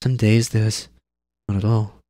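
A man's voice: lowish voice, level tone, measured pace, no echo, clean studio sound, pitched above the performer's own voice, natural voice. A man voicing an eleven-year-old girl laborer, plucky and matter-of-fact. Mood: eager. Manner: bright, eager, talkative.

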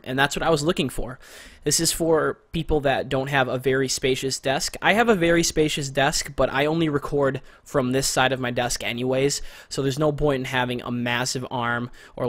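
A young man in a small bedroom giving a casual, rambling walkthrough of his recording gear, close to a condenser mic, in a masculine but not very deep voice. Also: dry recording. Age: young adult. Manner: relaxed, rambling, repeats words for emphasis. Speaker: gear reviewer. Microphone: studio condenser mic with pop filter, close.

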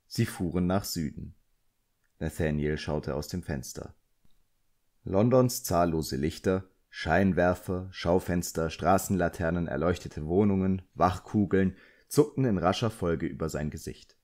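A man with a low-pitched voice narrates an audiobook. He delivers scripted literary prose as a storyteller reading from the page. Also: a little mellow.

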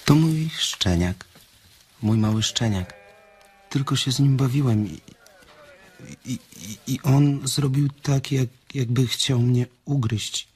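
An actor playing a slow-witted, childlike and anxious farmhand in a radio drama, speaking haltingly and repeating himself. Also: male voice, medium pitch, a little mellow, slight background noise, lightly acted. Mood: guilty, sad. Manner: halting, repetitive, simple.

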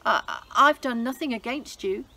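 A man's voice: extremely high pitch, moderate pace, creaky, bright, forceful tone, voice pushed up, full character voice, poor audio. A woman voicing a frightened villain who stammers and trembles in a read-aloud Bible story. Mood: terrified. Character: villainous male courtier, caught and frightened.

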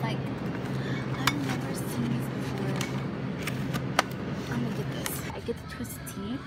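A woman's voice: medium pitch, rough recording. A woman, chatting casually and swearing freely as she vlogs into a phone. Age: 20s. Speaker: vlogger. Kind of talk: casual vlog chat.

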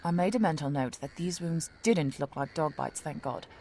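Actress as a low-voiced, haughty young woman scientist. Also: moderate pace, mellow, a little hiss or hum. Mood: uneasy. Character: ambitious, haughty young female research scientist. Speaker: actress, lead narrator of the episode. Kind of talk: scripted audio drama, first-person narration and dialogue.

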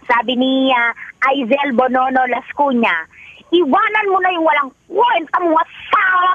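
A woman co-hosting a radio show, chatty and teasing, often chiming in with quick agreement. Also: high voice, dry recording, muffled recording. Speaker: radio DJ co-host. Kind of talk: casual radio banter. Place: radio studio. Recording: faint music bed.

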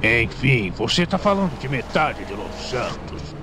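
A man with a Brazilian accent playing a fat, food-obsessed, stubborn gangster in a game fan dub, with a gravelly voice. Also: mid-range voice, very animated, measured pace, background noise. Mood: laid-back.

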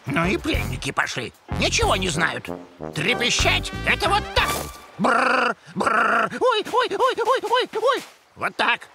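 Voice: mid-range voice, wildly swooping pitch, measured pace, vocal fry, exaggerated voice. An actor voicing a bossy, easily flustered squid pirate villain in a children's cartoon, theatrical and gloating. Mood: exasperated. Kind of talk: exaggerated children's cartoon voice acting. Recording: music behind the voice.

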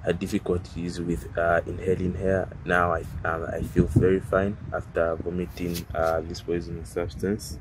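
Young man, from Zambia, very deep flat voice, hesitant testimony, phone outdoors, noisy. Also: moderate pace, few pauses. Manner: hesitant, many fillers. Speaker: prayer testimony giver. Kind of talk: personal testimony.

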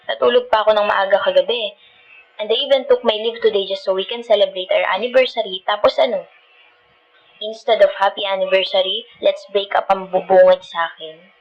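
A woman's voice: medium pitch, steady tone, a little fast, muffled recording, natural voice. A woman with a Filipino accent playing a feisty, dramatic but loving girlfriend in an ASMR roleplay, sassy and mixing two languages as she talks. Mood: disappointed. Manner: sassy, scolding, switching between Tagalog and English.

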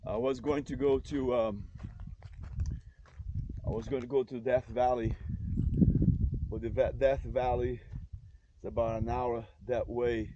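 A man's voice: mid-range voice, dull audio. A man narrating a travel vlog in a casual, rambling, informal way. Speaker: travel vlogger. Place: outdoors at roadside scenic stops. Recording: windy, noisy outdoor recording.

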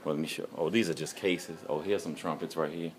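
A man in his forties walking through an instrument factory, filming himself on a phone held at arm's length and talking with excited enthusiasm. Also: a bass voice, brisk. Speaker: vlogger touring an instrument factory. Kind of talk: casual walk-and-talk vlog. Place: large indoor factory hall and showroom. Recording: phone recording amid workshop crowd noise.

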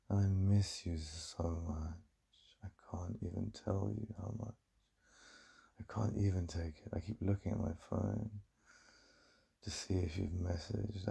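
A very dark, soft voice with heavy vocal fry: a man acting as a besotted English boyfriend in an ASMR roleplay. He speaks with a British accent, breathy and intimate, confiding his yearning to his lover. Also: heavily acted. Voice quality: deep, breathy, gravelly.

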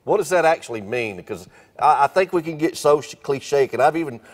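A man's voice: fairly high pitch, animated, fairly quick. A middle-aged man leading a devotional panel as pastor, earnest and encouraging, speaking into a handheld mic outdoors. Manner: earnest, encouraging, repeats words. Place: outdoor stage by a wooden fence.

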